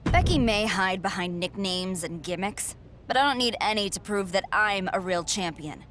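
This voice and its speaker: A woman's voice: mid-range voice, animated, moderate pace, noisy background, close to an everyday voice. A woman playing a cocky, sarcastic champion wrestler with a snide, self-assured manner in a video game cutscene. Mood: confident. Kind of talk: scripted game cutscene dialogue.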